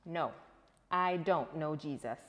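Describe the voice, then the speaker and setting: A woman's voice: deep voice, slow pace, fairly bright tone. Woman playing a brash, insistent fisherman, echoing hall. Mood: defiant denial. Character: brash, impulsive fisherman Peter, loudly protesting his loyalty.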